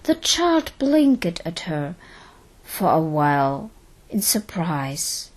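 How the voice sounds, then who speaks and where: A woman narrating a scripted audio drama in a measured, dramatic storytelling manner. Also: on the low side, big pitch swings.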